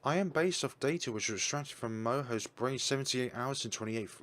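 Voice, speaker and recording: A man's voice: medium pitch, fairly quick, fairly bright tone, close to an everyday voice. A man voicing a young woman's AI copy. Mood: lecturing.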